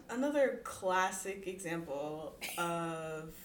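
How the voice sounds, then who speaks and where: A woman's voice: on the low side, animated, very slow, roomy sound, slight background noise. A woman co-hosting a podcast, giving blunt, sassy, exasperated commentary in casual chat. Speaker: podcast co-host. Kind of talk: casual chat reacting to a post.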